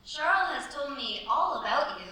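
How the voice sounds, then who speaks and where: A woman acts an earnest, anxious young bride in a staged period radio drama. Her voice is high and very animated, with a hesitant delivery. It echoes heavily around a theatre, away from the mic. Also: moderate pace. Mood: polite, nervous. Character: anxious young French bride, newly married. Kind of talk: staged radio play acting. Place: theatre stage at a cultural centre. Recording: very echoey stage, distant mic, faint noise.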